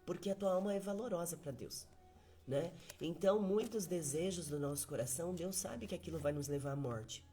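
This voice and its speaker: A woman's voice: fairly low pitch, a little fast, dark tone. A woman giving a morning devotional talk, speaking earnestly, asking listeners questions and repeating key phrases for emphasis.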